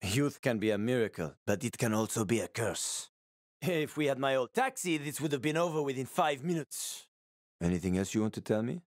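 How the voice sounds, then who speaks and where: A male actor voices a wry former cabbie surviving in a video game world. He is a man with a medium-pitched voice and a colloquial, sweary way of talking. His manner is urgent and streetwise, and the sound is dry and close. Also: animated, a little fast, no echo, lightly acted. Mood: wry, reflective. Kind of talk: video game character dialogue.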